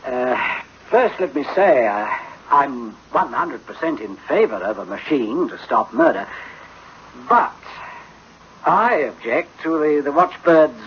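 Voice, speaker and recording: A man's voice: mid-range voice, animated, slow pace, a little hiss or hum. A man playing an earnest, worried objector in a radio drama, hesitant and stammering, on a muffled old recording. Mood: earnest, uneasy. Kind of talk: 1960s-style radio drama acting.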